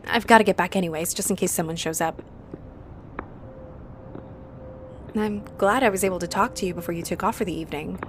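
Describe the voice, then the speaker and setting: A woman's voice: lowish voice, rapid-fire, slight background noise, close to an everyday voice. Woman playing a chatty, flustered vampire cafe owner. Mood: friendly, inviting. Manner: chatty, apologetic, giggly. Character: friendly, flustered female vampire running a cozy cafe. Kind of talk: roleplay audio drama addressed to the listener.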